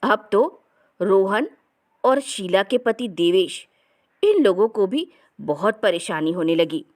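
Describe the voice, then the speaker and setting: Slow-paced woman storyteller. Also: mid-range voice, animated, a little mellow. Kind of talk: scripted Hindi comic story narration.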